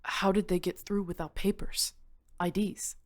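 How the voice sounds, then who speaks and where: A woman's voice: lowish voice, level tone, unhurried, no room sound, clean studio sound. A woman voicing a guarded, uneasy vampire detective in an audio drama, talking in a careful, hesitant way.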